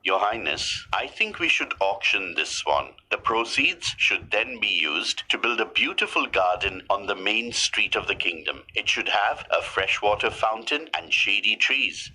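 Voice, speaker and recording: A man voicing a wise, witty royal minister in a storybook tale, measured and formal. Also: on the low side, lively intonation, bright, forceful tone, full character voice, low-quality recording. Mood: confident. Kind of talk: storybook character dialogue.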